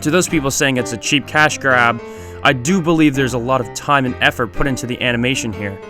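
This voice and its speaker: A man narrates a scripted video essay at a medium pitch. Guitar music plays clearly behind his voice as he presents as the host. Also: brisk.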